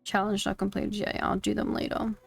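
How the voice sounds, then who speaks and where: A woman's voice: medium pitch, level tone, a little fast, vocal fry, husky, faint music behind. A woman streaming a game casually, reading its dialogue aloud into a close mic.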